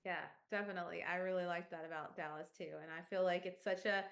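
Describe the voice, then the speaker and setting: A woman's voice: fairly low pitch, fairly even intonation, fast. A woman hosting an interview series, upbeat and friendly, often saying "awesome". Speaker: interview host for an outreach series. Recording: echoey room.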